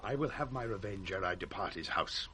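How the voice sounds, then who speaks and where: Low-voiced vengeful nobleman. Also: lively intonation, fairly quick. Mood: cold resolve. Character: stern, vengeful nobleman, cold and commanding. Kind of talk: 1960s Shakespearean radio acting. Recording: faintly noisy 1960s radio recording.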